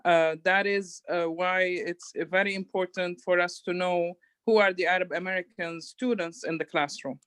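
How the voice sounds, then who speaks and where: Woman from Syria, halting presenter; dry recording. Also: lowish voice, fairly even intonation, a bit bright, clear, resonant tone, dry recording. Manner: hesitant, many fillers. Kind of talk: conference presentation.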